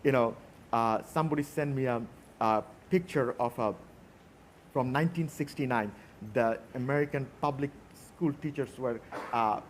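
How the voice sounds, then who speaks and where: A man's voice: mid-range voice, normal speed, a little hiss or hum. A man from Bangladesh with a Bangladeshi accent, speaking reflectively and telling anecdotes as a conference panelist.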